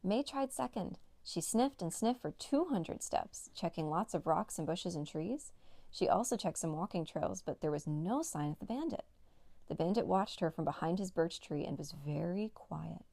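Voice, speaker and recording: A woman's voice: lowish voice, lively intonation, moderate pace, creaky, mellow, dry recording. A woman narrating a children's read-along story as a storyteller.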